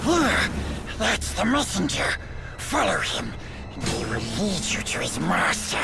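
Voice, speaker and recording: An actor voicing a wretched, sly small creature in a game, with a croaking, singsong, erratic delivery. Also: mid-range voice, huge pitch swings, unhurried, exaggerated voice. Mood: sly, coaxing. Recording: loud music behind.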